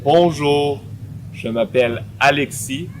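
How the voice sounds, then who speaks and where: A man from Quebec, introducing himself slowly and plainly, outdoors. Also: a little high, very animated. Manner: slow, plain self-introduction. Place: outdoors in the wind. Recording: wind and traffic noise, outdoor recording.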